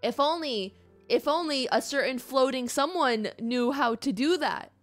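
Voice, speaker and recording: A woman's voice: sits high, animated, a little slow, soft background music. A woman giving casual, emotive live reaction commentary as a game streamer, close on the microphone. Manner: exclamatory, emotive.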